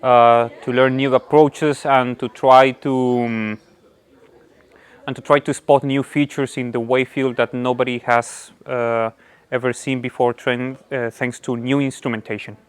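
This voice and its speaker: A man. His voice is smooth and clear, at a medium pitch, as he gives a short self-introduction. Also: little pitch movement, a little slow. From Colombia. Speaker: PhD student.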